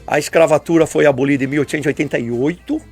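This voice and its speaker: A man's voice: on the high side, very fast, slightly rough, faint music behind. An older man from Brazil, explaining history on a radio program in a lecturing way, often ending points with tag questions. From southern Brazil. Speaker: guest historian.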